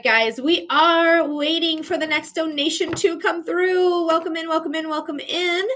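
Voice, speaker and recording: A woman's voice: high-pitched, a bit bright. A bubbly, chatty woman with a Michigan accent hosting a live psychic reading stream, laughing often as she talks to viewers. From Michigan, United States. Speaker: psychic reader hosting a livestream. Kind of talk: casual livestream chat.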